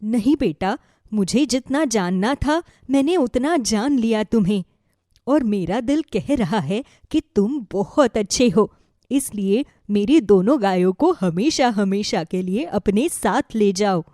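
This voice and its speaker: A woman voicing a kindly old village woman in an animated moral story, gentle, pleading and affectionate. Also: medium pitch, a little slow, dry recording, studio quality, lightly acted. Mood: grateful, tender.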